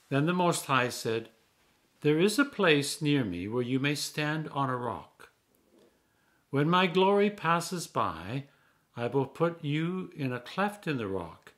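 An older man reading scripture solemnly as a clergyman, with measured, deliberate phrasing and emphatic stresses. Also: mid-range voice, a bit bright. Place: small indoor room.

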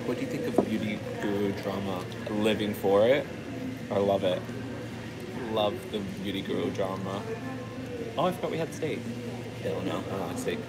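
A chatty young man with a fairly low voice, gushing and laughing through a live eating stream. He talks close to a phone camera. Loud restaurant noise fills the background. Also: moderate pace, long pauses. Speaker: vlogger. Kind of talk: casual live-stream chat while eating. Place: indoor restaurant with high ceiling.

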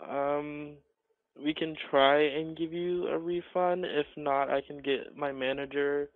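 A man working in cinema customer service, speaking politely and haltingly on a phone call, often repeating himself. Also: on the high side, fairly even intonation. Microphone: telephone call. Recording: tinny muffled phone line.

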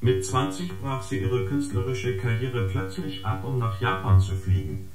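An actor playing a smug surveillance agent in a radio drama, reporting a dossier with precise, formal, sardonic delivery. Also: male voice, medium pitch, expressive, moderate pace, natural voice. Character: smug, gossiping surveillance agent or unit, dryly needling. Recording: echoing space, loud guitar music.

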